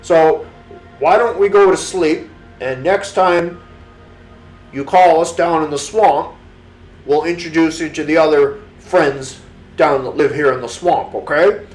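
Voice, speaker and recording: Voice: mid-range voice, measured pace, darkish tone, echoey room, faint music behind, natural voice, poor audio. A storyteller voicing a friendly, helpful swamp alligator in a children's bedtime story, hearty and reassuring.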